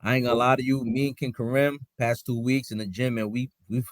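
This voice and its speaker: A man hosting a podcast, telling a story in relaxed casual slang among friends. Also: mid-range voice, fairly quick, no room sound. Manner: laid-back slangy storytelling. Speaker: podcast host. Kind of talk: casual chat.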